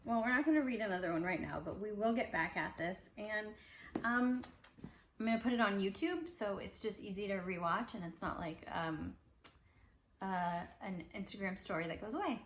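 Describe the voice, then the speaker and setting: A woman's voice: mid-range voice, normal speed. Casting: woman, parent reading to kids at home, conversational with explanatory asides, roomy sound with faint noise. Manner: conversational, explains pictures as she reads. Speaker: parent reading aloud to children. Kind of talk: casual picture-book read-aloud with asides to kids. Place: indoors at home.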